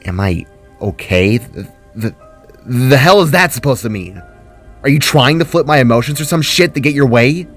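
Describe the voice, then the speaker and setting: A man's voice: medium pitch, animated, normal speed, fairly bright tone, faint music behind. Man voicing a stern, sarcastic ice-powered female spark. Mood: baffled annoyance.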